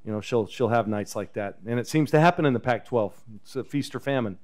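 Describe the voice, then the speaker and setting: A man in his fifties, a head coach, talking casually at a post-game press conference into a table microphone, relaxed and chatty. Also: medium pitch, fairly quick. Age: 50s. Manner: relaxed, chatty, chuckles often. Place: press conference room with backdrop. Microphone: table microphone on a stand.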